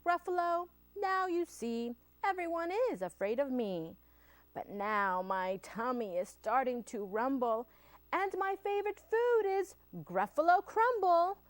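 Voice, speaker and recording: A woman's voice: high voice, lively intonation, measured pace, no echo. A woman voicing a small, cunning, boastful male mouse in a storybook, with an exclamatory, bragging manner. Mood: smug.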